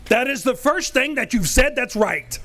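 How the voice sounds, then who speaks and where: A man playing an unflappable team manager in a live old-time radio comedy sketch, answering in a calm, matter-of-fact way. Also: very high-pitched, normal speed, exaggerated voice.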